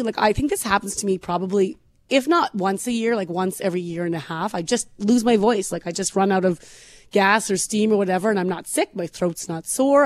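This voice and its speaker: A woman co-hosting a morning radio show in casual chat, her voice hoarse and scratchy from overuse, laughing often as she talks. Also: mid-range voice, dry recording. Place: radio studio. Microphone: studio microphone.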